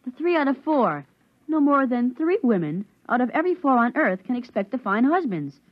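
A woman's voice: sits high, sing-song, moderate pace, no echo. A woman playing an eager, naive thirteen-year-old boy in a 1950s radio drama, earnest and wide-eyed. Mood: polite, earnest. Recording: dull lo-fi 1950s radio recording.

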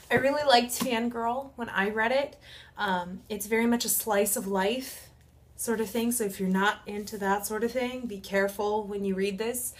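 A woman in her twenties chatting casually to camera in a halting, informal, self-correcting way, as a book vlogger. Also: mid-range voice. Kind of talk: casual vlog chat. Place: small room at home.

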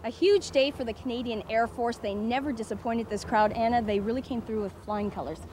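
A woman's voice: a little high, brisk, a little mellow, a little hiss or hum. A woman hosting a sports broadcast outdoors at a snowy ski hill, wrapping up the day's results with upbeat scripted presenting. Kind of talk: on-camera sports wrap-up. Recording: faint music bed, old VHS tape.

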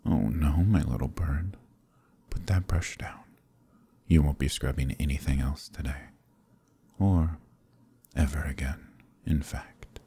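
A man voicing a suave, possessive demon prince in an ASMR roleplay, speaking in a hushed, purring near-whisper. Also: takes it slowly, long pauses, heavily acted. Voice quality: deep gravelly voice.

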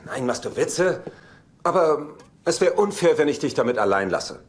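An actor playing a sardonic, scheming older brother in a sitcom dub, glib and mock-sincere. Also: male voice, on the high side, sing-song, normal speed. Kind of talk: German sitcom dub acting.

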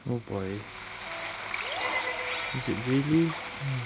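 A woman's voice: rumbling low, expressive, slow, very noisy. A woman making brief, casual reactions while playing a video game. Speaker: gamer commenting on a playthrough. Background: loud game music.

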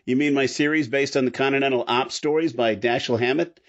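A man's voice: mid-range voice, steady tone, a little fast. A man hosting a podcast, chatting casually. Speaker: podcast host. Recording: slightly dull, lo-fi recording.

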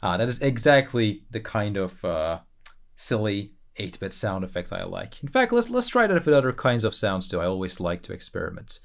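A man hosting a casual software tutorial, thinking aloud in a conversational way with playful asides. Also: fairly low pitch, sing-song, moderate pace, gravelly. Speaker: tutorial host.

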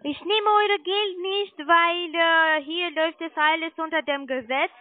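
High-pitched woman with non-native German on phone. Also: fairly even intonation. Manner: halting, searching for words. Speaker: call centre sales agent pitching trading. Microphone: telephone. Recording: muffled narrowband phone line.

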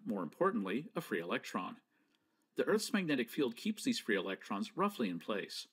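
A man presenting scripted educational narration in a measured, didactic manner with precise enunciation. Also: on the low side.

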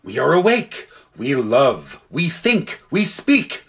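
A man's voice: mid-range voice, wildly swooping pitch, slow, fairly bright tone, heavily acted. A man voicing newly talking storybook beasts, innocent and eager creatures who speak in naive short phrases. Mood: eager, awed.

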